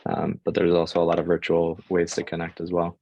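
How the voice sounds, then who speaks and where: A man's voice: sits low, monotone, brisk, gravelly, dry recording, dull audio. A young American man giving informal advice as a panel guest, with hesitant speech full of fillers. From United States. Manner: hesitant, filler-heavy delivery. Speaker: guest sharing job-search advice.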